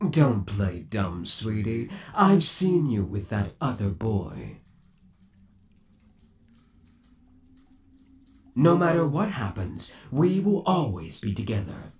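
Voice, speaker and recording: A man's voice: lowish voice, darkish tone. A man playing an undead, obsessive boyfriend trapped in a mechanical suit, sweet-talking and possessive in a theatrical ASMR roleplay. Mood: accusing, possessive. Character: undead yandere boyfriend trapped in springlock suit, menacingly doting. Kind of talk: ASMR roleplay, scripted monologue to the listener.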